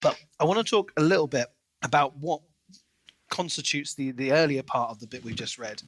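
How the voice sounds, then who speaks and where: A man co-hosting a podcast, chatting casually and enthusiastically about a book in an expressive way. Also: fairly high pitch, a little fast, a little hiss or hum. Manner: enthusiastic, expressive, acts out quoted lines. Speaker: podcast co-host.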